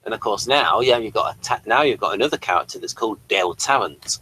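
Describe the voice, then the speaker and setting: A man's voice: fairly low pitch, lively intonation, fast, pushed and bright, slightly rough, low-quality recording. A man hosting a casual review discussion, speaking in a hesitant, rambling and apologetic way. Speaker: host of a TV review show.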